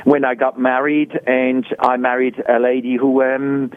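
A man from Switzerland, a guest explaining his health program in an earnest, explanatory way, often pausing and restarting sentences. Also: mid-range voice, level tone, a little hiss or hum. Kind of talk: conversational interview answers. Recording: dry, phone-like narrow sound.

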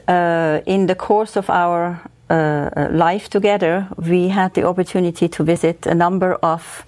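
A woman's voice: on the low side, a little mellow. Hesitant Swiss woman, German native. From Switzerland. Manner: hesitant, many filler sounds. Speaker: music professor, guest. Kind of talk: radio interview.

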